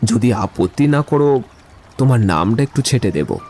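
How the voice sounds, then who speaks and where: Witty romantic young gentleman played by a male actor, eloquent and theatrical, audio drama with music behind. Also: mid-range voice, big pitch swings, moderate pace, lightly acted. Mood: tender, playful. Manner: playful, wordy, theatrical.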